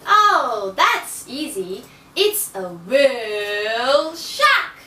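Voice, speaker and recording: A woman's voice: sits high, big pitch swings, extremely slow, sharp tone, full character voice. A woman voicing a know-it-all shark puppet who teaches patiently and exclaims, for a children's educational show. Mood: patient, emphatic. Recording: roomy echo, distant microphone.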